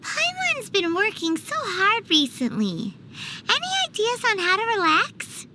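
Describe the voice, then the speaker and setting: A woman voicing a tiny, childlike fairy companion in an anime-style game, food-loving, cheeky and excitable, with a squeaky voice and exclamatory delivery. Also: a little slow, noisy background, exaggerated voice. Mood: whiny. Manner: speaks of herself in the third person, exclamatory.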